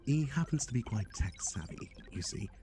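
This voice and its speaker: A man's voice: mid-range voice, lively intonation, moderate pace, warm tone, faint music behind, natural voice. A man playing a flippant, scatterbrained matchmaking agency boss in an ASMR roleplay, rambling offhandedly and muttering asides to the listener. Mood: blasé, darkly comic. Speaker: voice actor.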